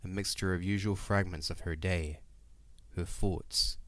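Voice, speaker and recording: A man's voice: low-pitched, steady tone, unhurried, no echo. A man reading scripted narration for an audio drama as its narrator.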